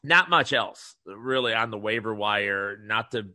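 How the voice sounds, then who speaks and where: A man, hosting his solo podcast in a loose, rambling monologue with frequent restarts and sales pitches. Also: lively intonation, a little slow, bright, forceful tone, no echo. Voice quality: nasal. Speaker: podcast host.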